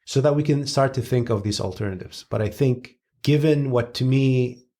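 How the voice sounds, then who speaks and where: A man from Syria giving long, measured, analytical answers as a guest expert, with frank asides. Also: mid-range voice, mellow.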